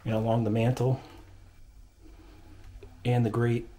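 A man's voice: mid-range voice, level tone, slow, dark tone, slight background noise. A man giving a casual, understated, matter-of-fact walk-through as an exploring vlogger. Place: indoors, inside an abandoned old house.

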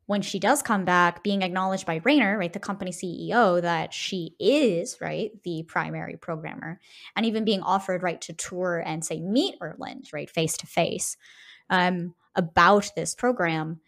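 A woman's voice: on the low side, normal speed, vocal fry. A woman hosting a podcast in a casual analytical discussion, discursive and often checking in with "right?". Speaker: podcast host.

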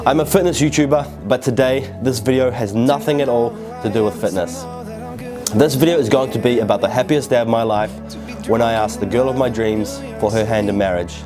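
A young man, a fitness YouTuber telling an excited story to camera, recorded indoors on a lapel mic. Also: medium pitch, brisk. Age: young adult. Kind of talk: upbeat vlog narration to camera. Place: indoor living room, seated. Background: background music.

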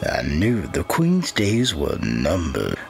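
An actor playing an ancient, menacing undead wight, telling his dark fantasy tale in a brooding, deliberate, theatrical way. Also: extremely low pitch, wildly swooping pitch, gravelly, soft background music, heavily acted. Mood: cold, calculating. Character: ancient undead body-stealing wight, cold, menacing, patient. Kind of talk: dark fantasy audio drama narration.

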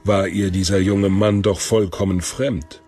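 A man's voice: deep voice, fairly even intonation, a little slow, dark tone. A man narrating an audio drama from a script. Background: music.

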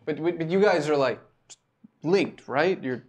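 A man running a tabletop game in a casual, relaxed way, often stammering as he restarts a sentence. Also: fairly high pitch, a little slow, muffled recording. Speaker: game master.

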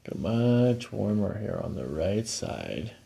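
American guy chiropractor, super creaky mellow voice, calm asmr exam talk. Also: fairly low pitch, slow. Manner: calm, explanatory, clipped check-in questions. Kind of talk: hands-on clinical exam narration, ASMR. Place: small carpeted clinic office, indoors.

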